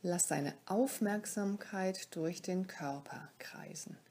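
Female meditation guide: mellow, slightly low voice, soft and breathy to near-whisper, calm, soothing, spacious phrasing. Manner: calm, soothing, short instructive phrases with pauses. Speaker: yoga nidra teacher leading a guided relaxation.

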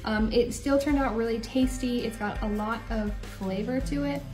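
A young woman with a slightly high voice walks through a recipe in a relaxed, chatty way, adding small asides as she goes. She sounds like she's recording in her own home kitchen, with soft music playing underneath. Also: moderate pace. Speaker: home cooking show host. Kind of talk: casual cooking voice-over.

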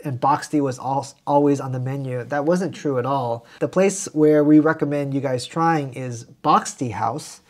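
A man's voice: medium pitch, moderate pace, hardly any pauses, a little mellow. Man, 30s, from the US, travel vlog co-host, casual, eager, stop-start phrasing, lapel mic. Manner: chatty, many fillers and restarts, enthusiastic. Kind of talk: casual food recommendations to camera. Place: indoor home room with plants.

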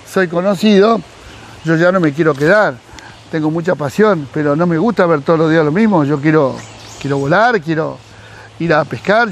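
An animated middle-aged man with an Argentine Spanish accent, his voice sitting high for a man. He talks casually to a camera close by in a sunny garden, gesturing as he goes and acting out quoted dialogue. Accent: Argentine Spanish, Mar del Plata. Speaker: fish breeder and YouTuber. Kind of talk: casual advice vlog. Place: outdoors in a sunny garden by a pool. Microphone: camera at close range.

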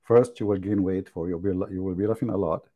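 A man's voice: low-pitched, steady tone, fast talker, warm tone. A man hosting a livestream, joking and mocking as he talks and laughing at his own jokes. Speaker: online religious debate host. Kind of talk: casual livestream commentary.

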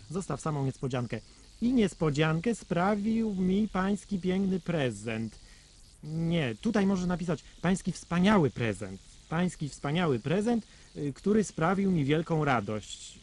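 A man's voice: on the high side, breathy edge. A man playing an irritable, fussy, bossy father who huffs and hesitates in a radio play. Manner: exasperated, huffy, hesitant when dictating. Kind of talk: acted radio play dialogue.

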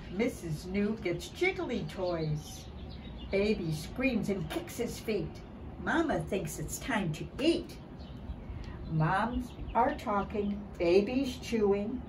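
An older woman reading a children's picture book aloud in an expressive, deliberate way, dramatizing it for young children. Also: medium pitch. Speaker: early childhood storybook reader. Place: outdoors by a building wall and door. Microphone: camera a short distance away. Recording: distant mic, clear background noise outdoors.

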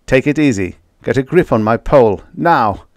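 A man acts out a steady explorer on Mars in an audiobook adventure. He talks roughly and urgently through gritted teeth, pushing his partner on, in a dry, close recording. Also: lowish voice, normal speed, no echo.

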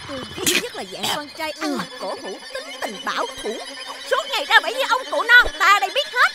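A voice actor playing an excitable, easily flustered schoolchild in a Vietnamese cartoon, melodramatic and exclamatory. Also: very high voice, fairly quick. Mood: agitated. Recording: loud music behind the voice.

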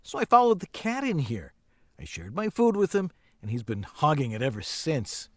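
Man playing an easygoing homeless guy, rambling, lively pitch, dry close mic. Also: normal speed, no echo. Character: unhoused young man squatting in shop.

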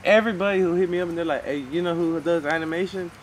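Male interviewer, slightly high voice, slangy and swearing, encouraging, faint outdoor noise. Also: fast talker, poor audio. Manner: profane, encouraging. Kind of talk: casual slangy chat interview. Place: outdoor backyard, off camera.

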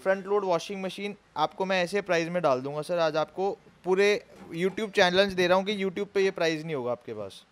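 A man in his thirties, a shop salesman briskly pushing discount deals in a warehouse. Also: sits high. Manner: brisk, pushy deal-selling. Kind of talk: sales pitch on a store walkthrough. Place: indoor appliance warehouse.

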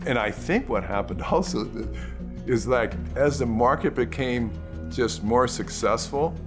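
A man's voice: mid-range voice, animated, unhurried, over music. A middle-aged or older man talking casually in a documentary interview.

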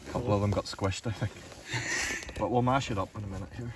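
A man giving quiet, offhand replies while making jam at a camp. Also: on the low side, steady tone, fast talker, recorded from a distance, background noise. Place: outdoors at a camp.

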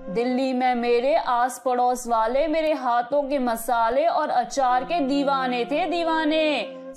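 Woman playing a high-voiced, bossy mother. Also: a little mellow. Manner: boastful, insistent, wheedling. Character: bossy, proud older Indian mother and home cook. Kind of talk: Hindi animated moral-story dialogue.

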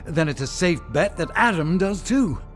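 Professor: excitable academic, high-pitched and lively, space adventure audio drama over music. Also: male voice, moderate pace. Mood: urgent. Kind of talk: sci-fi audio drama acting.

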